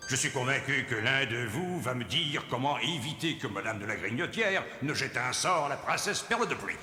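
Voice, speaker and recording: A man voicing a pompous, excitable cartoon king, imperious and blustering. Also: medium pitch, normal speed, soft background music. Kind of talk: animated film voice acting.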